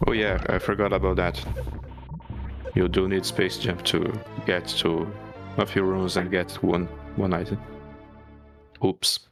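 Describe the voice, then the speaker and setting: A man's voice: deep voice, flat delivery, a little slow, gravelly, pauses often. A man whose first language is Brazilian Portuguese, chatting casually as a speedrun commentator and sharing fun facts. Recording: music playing behind.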